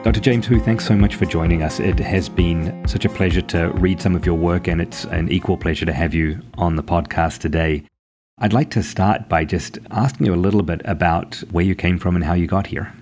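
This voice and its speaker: A man's voice: low-pitched, steady tone, a little fast, a little mellow, faint music behind. A man co-hosting a podcast, introducing the guest and asking questions in a friendly tone. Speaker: podcast co-host.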